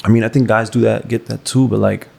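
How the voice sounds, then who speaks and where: A man's voice: on the low side, level tone, quick pace, vocal fry, dark tone, breathy edge. A man hosting a podcast, talking in a laid-back, teasing way and swearing casually. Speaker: podcast host. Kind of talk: casual chat. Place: podcast studio. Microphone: studio dynamic mic.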